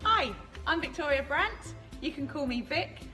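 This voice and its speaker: A woman's voice: high voice, expressive, a little slow, music in the background. A woman in her thirties from England introducing herself to camera with witty self-deprecation. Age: 30s. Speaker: contestant.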